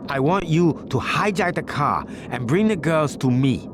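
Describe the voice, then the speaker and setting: A man playing a ruthless gang boss in a video game cutscene, giving orders in formal, measured phrases with menace. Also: a little high, expressive, background noise. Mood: commanding.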